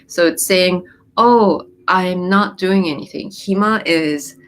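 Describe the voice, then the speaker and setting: A woman with a somewhat low voice hosts a relaxed livestream. Japanese is her mother tongue but she grew up in the US. She reads out viewer comments and explains lyrics, pausing on ums and restarting her sentences. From born in Japan, raised in the US. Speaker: livestream host. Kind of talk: casual livestream chat.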